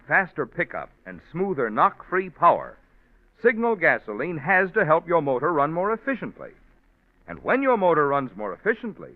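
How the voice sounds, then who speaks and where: Male 1940s sponsor announcer, very animated, emphatic and polished, dry but narrow, muffled period sound. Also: a little high, no room sound. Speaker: radio announcer. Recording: muffled, narrow-band old radio recording.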